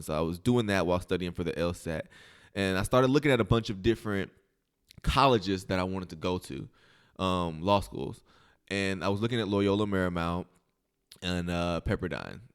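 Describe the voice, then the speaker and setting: American guy, kinda deep smooth clean voice, podcast guest just telling stories casually, says like a lot. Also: a little fast. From southern Illinois and western Kentucky, United States. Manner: informal, peppered with "like" and "you know". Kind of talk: casual conversational storytelling.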